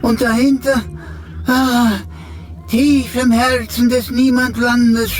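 Slow, theatrical cheeky computer sidekick, acted out. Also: medium pitch, little pitch movement, faint music behind, lightly acted. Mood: mock-solemn. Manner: theatrical, mock-archaic, wordy. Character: chatty, cheeky talking computer sidekick with flowery servile speech. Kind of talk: radio play dialogue.

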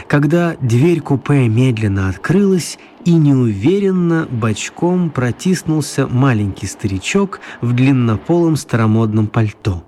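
A man narrating a children's radio play in a calm, even storytelling manner. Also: mid-range voice, a little hiss or hum.

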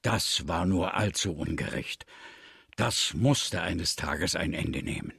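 Deep gravelly old man, pious and expansive. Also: animated, slow pace, fairly bright tone, no echo, clean studio sound, exaggerated voice. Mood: gleeful, boastful. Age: older. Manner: expansive, storytelling, declamatory. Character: talkative, pious old villager grown rich, eagerly hospitable. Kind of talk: radio drama acting.

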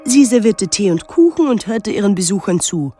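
A young actress playing a pensive, detached teenage daughter, narrating a literary radio drama in a measured, introspective way. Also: female voice, medium pitch, animated, music in the background, lightly acted. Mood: detached, wry.

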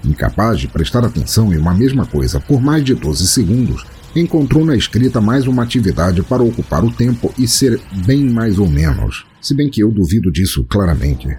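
A man's voice: fairly low pitch, very animated, brisk, few pauses, mellow, a little hiss or hum. A man with a Brazilian accent hosting and narrating a scripted storytelling podcast in a theatrical, florid manner. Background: music.

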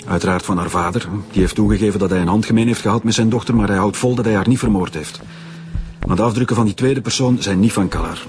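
A brisk police reporter of findings played by a man in a radio crime serial. He has a low Flemish-accented voice and talks very fast, in a factual tone. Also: darkish tone, faint music behind, natural voice. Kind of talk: radio drama acting.

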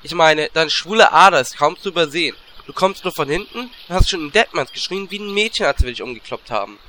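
A man's voice: on the high side, expressive, fast, pushed and bright, a little hiss or hum. A man playing a cheeky, fearless little gnome warlock in a fantasy parody audio drama, brash and teasing. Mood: indignant.

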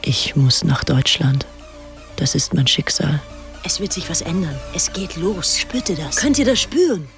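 A woman's voice: low-pitched, measured pace, heavily acted. An actress playing a rapturous, fanatical young English socialite of the 1930s in a gushing, girlish radio drama style. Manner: gushing, girlish, theatrically excited. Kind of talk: radio drama acting, breathy diary monologue. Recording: music behind the voice. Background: music.

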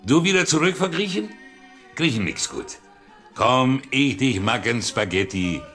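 An actor playing a friendly restaurant owner in a radio drama, speaking broken German. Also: male voice, medium pitch, expressive, a little slow, bright, forceful tone, soft background music. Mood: playful, friendly. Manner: broken, simplified foreign-worker grammar.

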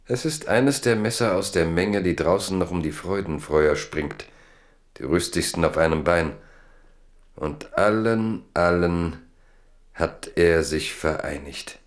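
An actor playing a grave, solemn storyteller, narrating a literary radio drama in measured phrases with music behind him. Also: male voice, deep voice. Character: grave, solemn male storyteller of a royal tragedy.

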